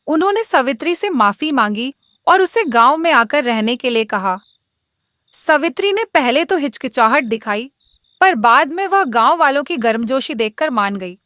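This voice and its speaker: A woman's voice: sits high, animated, normal speed, fairly bright tone, dry recording, clean studio sound. A woman reading a scripted moral tale as a storyteller.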